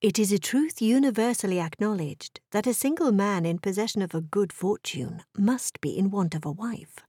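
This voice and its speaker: A woman reading a classic novel as an audiobook narrator, measured and deliberate. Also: mid-range voice, animated, dark tone, breathy edge, no room sound, studio quality.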